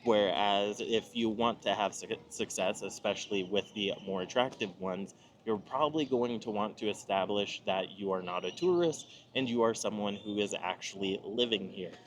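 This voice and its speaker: Low-voiced American man, explanatory vlog talk. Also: normal speed, hardly any pauses. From Nebraska, United States. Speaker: video host giving travel advice. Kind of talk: informal monologue to camera.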